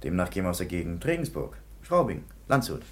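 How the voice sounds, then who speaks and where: An actor playing a calm, observant male police clerk in a radio play. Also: low voice, brisk.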